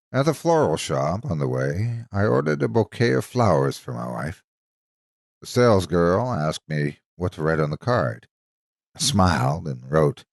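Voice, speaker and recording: A man narrating from a script as a storyteller, with a measured, expressive and dramatic delivery. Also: low voice, gravelly, dry recording, clean studio sound. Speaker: story narrator and channel host.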